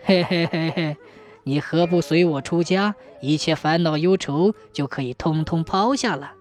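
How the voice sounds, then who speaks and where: A woman voicing a teasing wandering male monk in a story, with a chuckling, drawn-out, mocking delivery. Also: low-pitched, darkish tone, soft background music, pitched below the performer's own voice, natural voice. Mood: amused, mocking.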